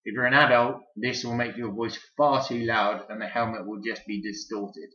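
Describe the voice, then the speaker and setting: Male toy reviewer, lowish voice, chatty and enthusiastic, casual and rambling delivery; roomy, slightly muffled home-recorded sound. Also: fairly even intonation, moderate pace, bright, forceful tone. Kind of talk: casual hands-on product review. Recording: roomy echo, dull lo-fi sound.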